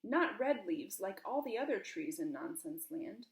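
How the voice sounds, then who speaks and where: A woman's voice: mid-range voice, sing-song, a little slow. A woman reading a children's storybook aloud expressively, with emphasis and questions put to young listeners. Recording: roomy, echoing room.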